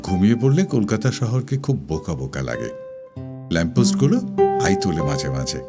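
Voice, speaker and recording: A man's voice: deep voice, big pitch swings, fairly quick. Male actor as whimsical lovestruck college boy, swooping intonation, Kolkata Bengali, poetic audio drama over guitar. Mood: flippant, dreamy. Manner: teasing, whimsical, sulky. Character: lovestruck, flippant young male university student, evasive and wistful. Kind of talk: recited dramatic Bengali poem dialogue. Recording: studio voice over guitar music. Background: plucked guitar music.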